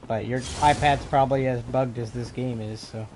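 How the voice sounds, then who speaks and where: A man from North Carolina with a North Carolinian accent, chatting casually with viewers as he plays and streams a game, softly clipping the ends of his words. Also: mid-range voice, fairly even intonation, moderate pace. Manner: chatty, reacts to the game while reading chat aloud; clips the ends of words, soft delivery. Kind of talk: casual livestream chat over gameplay. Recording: noisy stream mic with background noise.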